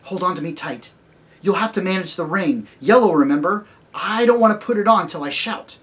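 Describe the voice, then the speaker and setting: A woman reading aloud plays a determined young boy from a storybook, close to her own voice but quick and earnest. His words come out brisk and firm. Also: fairly low pitch, animated, pitched above the performer's own voice, natural voice. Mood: urgent.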